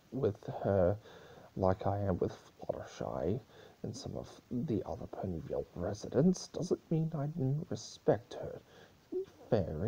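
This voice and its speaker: Storybook chaos spirit, played by a man: male, mischievous and mocking, gravelly, swinging pitch. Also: dark tone, heavily acted, rough recording. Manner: mocking, melodramatic.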